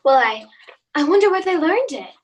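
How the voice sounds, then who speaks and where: A woman's voice: high-pitched, very animated, normal speed. A woman playing a polite, indulgent housewife and mother in a radio play. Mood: warm, polite.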